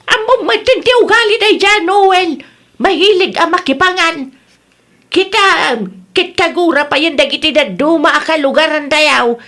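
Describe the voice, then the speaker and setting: A woman acting a nagging, no-nonsense housewife in a comedy radio drama. Her voice is high, with an Ilocano accent and a scolding village bluntness. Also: moderate pace, fairly bright tone. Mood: complaining.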